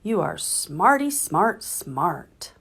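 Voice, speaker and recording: A woman leading preschoolers through the alphabet song, drawing out each letter clearly and then praising the children. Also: on the low side, big pitch swings, fairly bright tone. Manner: drawn-out, clearly paced, encouraging. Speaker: children's librarian leading a sing-along. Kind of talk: slow alphabet sing-along for preschoolers.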